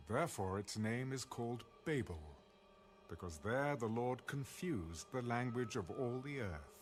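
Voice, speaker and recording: A man narrating scripture from a script in a measured, formal way. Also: medium pitch, lively intonation. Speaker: audio Bible narrator. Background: soft background music.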